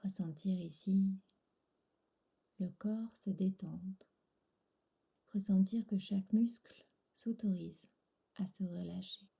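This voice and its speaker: Hushed, breathy, slow-speaking woman. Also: mid-range voice, steady tone. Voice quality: breathy, soft. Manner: soothing, hushed, pausing between short phrases. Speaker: yoga nidra instructor. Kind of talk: guided relaxation meditation, soft-spoken.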